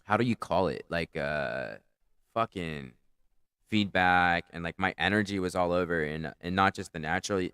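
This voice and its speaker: A man's voice: low-pitched, normal speed, pushed and bright, smooth, no room sound, clean studio sound. A man with a powerful, carrying voice, casually reviewing his own comedy set in a rambling, profane, self-critical way. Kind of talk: casual commentary.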